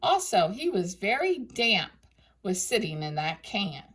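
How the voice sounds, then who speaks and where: A woman reading a children's story aloud in an expressive, deliberate storytelling manner. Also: medium pitch, breathy edge. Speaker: storybook reader for schoolchildren.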